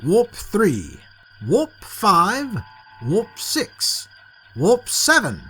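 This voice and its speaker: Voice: medium pitch, huge pitch swings, drawn-out words, bright, forceful tone, breathy edge, full character voice. A performer playing a dutiful helm officer giving short reports in a science fiction audio drama. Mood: dutiful, reporting.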